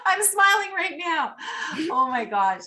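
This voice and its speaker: A woman's voice: very high-pitched, sing-song, a little slow, bright, forceful tone. A woman raised from early childhood in Canada after being born in Italy, Italian her first language, talking as an upbeat, effusive podcast guest over a remote call. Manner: effusive, upbeat motivational talk. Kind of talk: casual interview chat.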